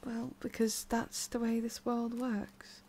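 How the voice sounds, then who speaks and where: A woman playing a lonely, guarded immortal witch in an intimate ASMR roleplay, speaking softly and often dropping to a whisper. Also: fairly high pitch, flat delivery, slow. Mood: melancholy.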